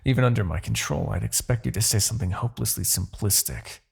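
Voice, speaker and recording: A man voicing an arrogant, brooding demon king in a fantasy ASMR roleplay, condescending and theatrical, with a husky, gravelly low voice and pauses. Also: full character voice. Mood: commanding, wary. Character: arrogant demon king, gloating yet begrudgingly attached captor.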